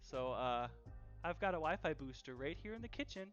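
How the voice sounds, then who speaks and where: A man's voice: on the high side, expressive, normal speed, a bit bright, faint music behind. Chatty man on a lo-fi kitchen livestream. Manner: chatty and easygoing, repeats phrases for emphasis. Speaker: host of a live cooking stream. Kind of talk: casual live-stream chat while cooking. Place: home kitchen. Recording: dull, lo-fi livestream audio.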